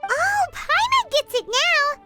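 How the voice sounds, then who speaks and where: A woman voicing a tiny, childlike, bubbly fairy companion in a video game, with a squeaky, exclamatory delivery. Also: very animated, slow, over loud music, exaggerated voice. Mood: excited, cheery. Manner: refers to self in third person, exclamatory. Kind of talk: animated video game voice acting.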